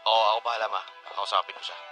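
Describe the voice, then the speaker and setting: A man playing a supportive, excitable eldest son in a Tagalog radio drama. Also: fairly low pitch, rapid-fire, over loud music, natural voice. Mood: earnest, supportive.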